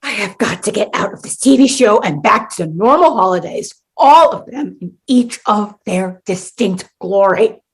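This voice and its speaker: A woman's voice: mid-range voice, expressive, moderate pace, hardly any pauses, slightly rough. An actress playing an exasperated, sarcastic young woman stuck in a sitcom world, in a comedic radio play. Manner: sarcastic, incredulous.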